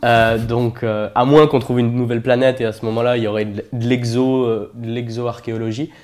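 A man's voice: medium pitch, level tone, a little fast, a little mellow. A French man in his twenties chatting casually as an interview guest, joking and self-deprecating in his manner. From France. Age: 20s.

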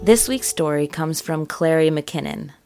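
A woman's voice: low voice, measured pace, soft background music. A woman hosting a documentary podcast, reading a scripted narration. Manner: even, read-from-script delivery. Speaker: podcast host.

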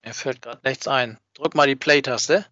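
A man's voice: mid-range voice, fairly even intonation, a little slow, sharp tone, dry recording. A man talking casually and unscripted as co-host of a fan podcast. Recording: dull, dry, band-limited audio.

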